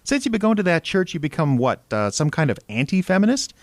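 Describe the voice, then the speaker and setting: Casting: middle-aged American husband, secret drinker, bitter and defensive, sarcastic formality, very lively intonation, dry close sound, audio drama. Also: male voice, on the high side, fast, no echo. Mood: angry, incredulous. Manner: sarcastic, overly proper when tipsy. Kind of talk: naturalistic audio drama acting.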